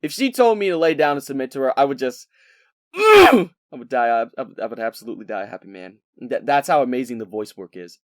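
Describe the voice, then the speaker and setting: Animated, high-voiced male game reviewer. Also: a little fast, no room sound, studio quality. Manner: enthusiastic, playful, expressive. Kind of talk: casual scripted review narration.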